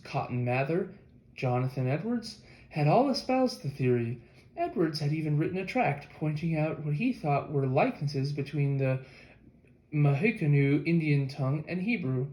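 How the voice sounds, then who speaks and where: A man's voice: fairly high pitch, animated, normal speed, mellow. A man reading a nonfiction history book aloud as scripted audiobook narration. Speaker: audiobook reader. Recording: echoey room.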